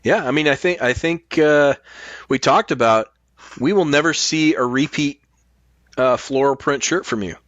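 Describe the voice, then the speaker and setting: A man's voice: on the high side, normal speed, fairly bright tone, dry recording, highs cut off. A middle-aged man chatting casually as a podcast guest, blunt and full of swearing. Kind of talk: casual chat.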